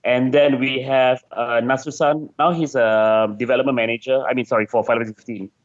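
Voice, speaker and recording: Male interviewee, game designer. Mid-pitched, chatty and casual, searches for words with repeats. Slightly muffled, band-limited recording. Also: steady tone, brisk.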